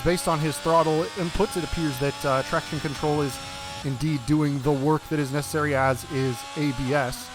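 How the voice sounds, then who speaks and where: A man's voice: fairly high pitch, background noise. A man with an American accent giving chatty play-by-play commentary on a sim racing league race. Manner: chatty play-by-play with fillers and self-corrections.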